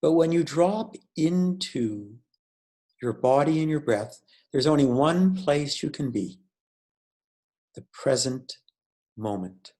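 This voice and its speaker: Slow-paced man guiding a meditation. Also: fairly high pitch, animated, mellow. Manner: measured, deliberate, with pauses between phrases. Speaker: meditation teacher. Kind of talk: guided meditation instruction.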